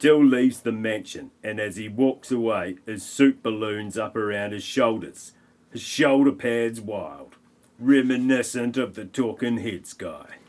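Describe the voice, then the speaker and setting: A man's voice: lowish voice, unhurried, a little mellow. A man narrating an amateur comedy audio play with wry, mocking asides.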